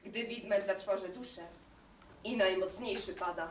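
A teenage girl on a school stage playing a stern armed war goddess who declaims slowly and solemnly. The recording is echoey and far from her. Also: mid-range voice, a little hiss or hum, natural voice. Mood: solemn prophecy. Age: teens. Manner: slow solemn declaiming, shouted calls.

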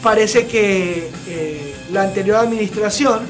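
A young man hosting a TV studio show, giving blunt, opinionated commentary with many filler pauses. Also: high voice. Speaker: TV show host. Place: TV studio set with sofa. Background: background music.

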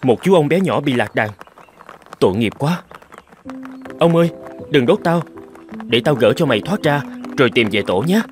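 A man voicing a kind, lonely young scholar in a cartoon fairy tale, gentle and wondering. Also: mid-range voice, animated, normal speed, pauses often, soft background music, natural voice. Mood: pitying, gentle.

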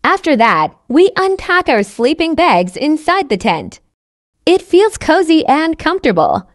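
A woman reading a scripted story for language learners, with careful enunciation and identical, measured phrasing. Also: high-pitched, sing-song, no echo, studio quality. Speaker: narrator of English learning stories.

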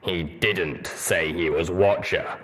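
A man voicing a stoic armored ghoul pony warrior in a deep rumble, slow and measured. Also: fairly even intonation, fairly bright tone, breathy edge, heavily acted. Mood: curious.

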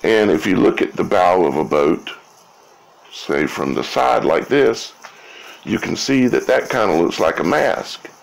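A man giving an informal explanatory monologue in a halting way, repeating words and often checking in with "okay?" or "right?". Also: deep voice, expressive, creaky, rough recording. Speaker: video creator.

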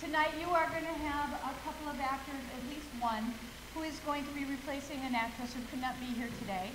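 A middle-aged woman, a director, giving a nervous, halting informal introduction from a stage. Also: high voice. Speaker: play director introducing show. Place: school auditorium stage, far camera. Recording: echoey auditorium with hiss.

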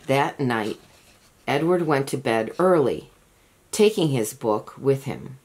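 A woman reading a children's picture book aloud as an expressive, deliberate storyteller. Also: a bass voice, slightly rough, rough recording. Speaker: storybook reader.

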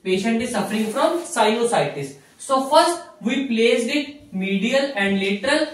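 A man's voice: extremely high pitch, sing-song, unhurried. A young man with an Indian accent giving an explanatory whiteboard lecture, repeating key phrases as he teaches. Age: young adult. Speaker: physiotherapy lecturer. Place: small indoor room with whiteboard. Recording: very echoey room, distant mic.

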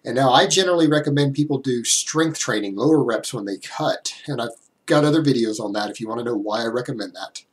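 A man in his thirties, a little hoarse from a cold, explaining fitness science directly to a webcam in a small room at home. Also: medium pitch, fast talker. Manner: direct, explanatory, talks with hands. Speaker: fitness YouTuber. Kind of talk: explanatory vlog to camera.

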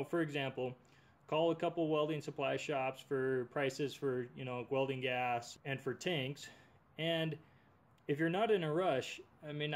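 A man narrating a welding machine review and tutorial in an informal, conversational way, as a voice-over. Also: medium pitch. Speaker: DIY product reviewer.

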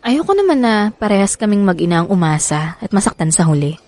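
A woman's voice: mid-range voice, big pitch swings, quick pace, darkish tone. An actress playing a young Filipina single mother anxious about love, in a natural radio drama style. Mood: worried, earnest.

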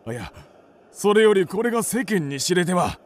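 A man playing an anxious, calculating kabuki troupe actor in a period radio drama, hushed and worried. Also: high-pitched, sing-song, moderate pace.

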